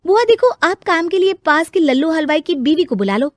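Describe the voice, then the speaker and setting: A young, overworked daughter-in-law played by a woman in a cartoon moral story. Her voice is very high and sounds close and dry. She talks in a chatty, exclaiming, homely way. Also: very animated, a little fast, no room sound. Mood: complaining, defiant. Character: young overworked daughter-in-law, eager then weary and grumbling.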